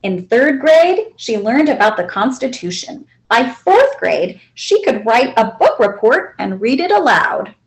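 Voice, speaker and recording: Woman, high voice, animated intonation, children's picture book narration, expressive, deliberate storytelling. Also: low-quality recording. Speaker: librarian reading aloud.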